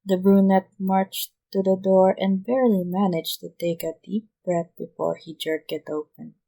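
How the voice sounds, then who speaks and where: A woman's voice: mid-range voice, little pitch movement, normal speed, vocal fry, mellow, rough recording. A woman reading scripted fan fiction aloud as an amateur audiobook narrator.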